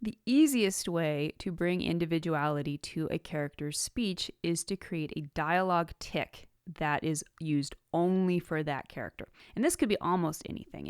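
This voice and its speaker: Female podcast host, slightly low register, scripted teaching narration, dry close-miked sound. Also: no echo. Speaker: writing podcast host and author.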